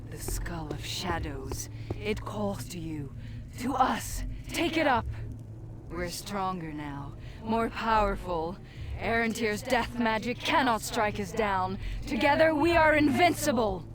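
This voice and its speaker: A woman's voice: medium pitch, expressive, takes it slowly, crowd noise. An actress playing a seductive, ambitious, scheming female companion in a video game, with a coaxing, intimate manner. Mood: seductive, urging. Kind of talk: dramatic video game acting.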